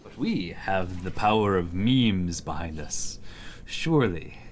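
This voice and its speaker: A man's voice: low-pitched, expressive, takes it slowly, slight background noise. A man giving casual gameplay commentary, thinking aloud as he plays. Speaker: host playing a card game.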